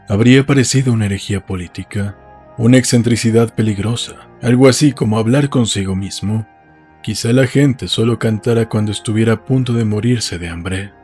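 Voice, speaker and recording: A man reading literary narration for an audiobook in a measured storytelling manner. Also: fairly low pitch, a little mellow, husky, faint music behind.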